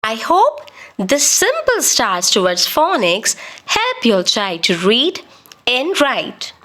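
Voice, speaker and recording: Slow, high-pitched woman teaching young children. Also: wildly swooping pitch, a bit bright. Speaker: children's phonics teacher and presenter.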